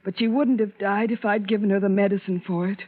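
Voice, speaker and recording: An actress playing a scheming, possessive, suspicious wife in a 1940s radio drama, cold and threatening. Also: female voice, medium pitch, steady tone, fast talker, close to an everyday voice. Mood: intense, threatening. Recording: tinny old 1940s radio broadcast.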